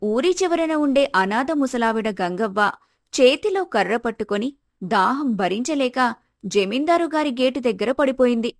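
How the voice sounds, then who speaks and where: A woman's voice: fairly high pitch, expressive, moderate pace, no echo, studio quality. A woman narrator delivering scripted storytelling narration for a moral tale.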